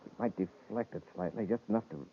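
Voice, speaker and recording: Man acting decisive space commander, deepish voice, clipped orders, 1950s radio serial, heavy noise. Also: quick pace, natural voice. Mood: steady, serious. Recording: noisy, tinny 1950s radio recording.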